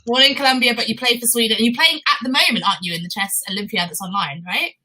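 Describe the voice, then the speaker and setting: A woman's voice: fairly high pitch, fast talker, sharp tone. A woman hosting live chess commentary in a conversational, explanatory way, often putting questions to her co-commentator.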